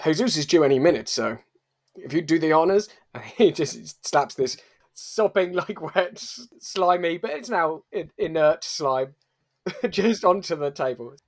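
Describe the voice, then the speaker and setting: A man's voice: a little high, expressive, measured pace. A man improvising and narrating actions in a comedy roleplay. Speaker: player in a roleplay podcast.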